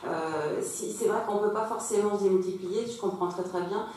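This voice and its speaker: A woman with a mid-pitched voice speaks off the cuff at a council meeting, explaining in a conciliatory way. The sound is very reverberant and distant from the mic. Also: moderate pace, a little mellow. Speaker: council member. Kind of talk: spontaneous speech in a formal meeting. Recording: very echoey hall, distant mic, faint noise.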